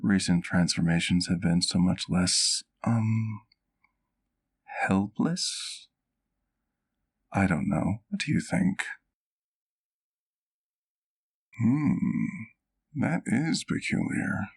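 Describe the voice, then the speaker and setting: A man's voice: low voice, lively intonation, creaky, exaggerated voice. A man playing a courtly, doting vampire doctor in an ASMR roleplay, speaking intimately to the listener in formal, precise words. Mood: concerned. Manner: formal, precise, measured wording.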